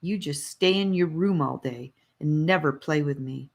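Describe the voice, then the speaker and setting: A woman voicing a playful, sulky, excitable young boy in an audiobook, speaking bluntly with some whine. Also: deep voice, normal speed. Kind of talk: audiobook character voice.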